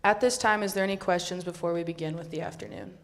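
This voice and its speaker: A woman's voice: lowish voice, fairly even intonation, quick pace, a little hiss or hum. A young woman acting as emcee, announcing each presenting group in a scripted, orderly way.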